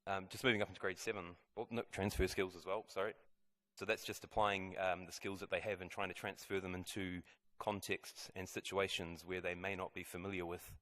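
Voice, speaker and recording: Deep-voiced guy walking parents through the maths curriculum, pretty flat delivery. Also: brisk. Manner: steady, explanatory, with fillers. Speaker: head of maths faculty presenting to parents. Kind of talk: school information presentation. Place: school presentation room.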